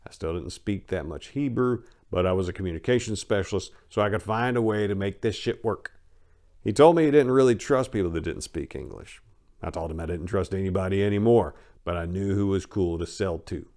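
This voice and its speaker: Low-pitched American man reading a gritty story. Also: fairly quick, darkish tone. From United States. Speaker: audiobook narrator. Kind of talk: dramatic reading of a first-person memoir.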